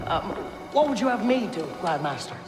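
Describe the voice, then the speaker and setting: A man's voice: sits high, big pitch swings, normal speed. A man playing a fussy, aggrieved royal tax official in a video game. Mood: uncertain. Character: indignant, anxious male royal tax official. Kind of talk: cinematic video game acting. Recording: echoey hall, music behind.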